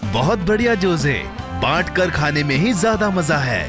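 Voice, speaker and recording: A man's voice: fairly high pitch, sing-song, moderate pace, over music. A man voicing a friendly, upbeat companion in a children's cartoon, speaking encouragingly in simple phrases. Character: friendly, upbeat adult companion and contest announcer.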